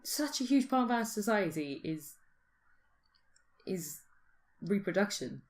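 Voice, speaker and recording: A woman's voice: medium pitch, very animated, unhurried. A British woman in casual conversation, musing informally and thinking aloud as a podcast host. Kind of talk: casual speculative chat.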